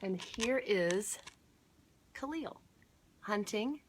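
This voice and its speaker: A woman hosting a children's storytime, reading aloud and pausing to explain and point things out to her listeners. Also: mid-range voice, lively intonation, a little hiss or hum. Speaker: storytime host at a museum garden. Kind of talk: read-aloud storytime for children with chatty asides.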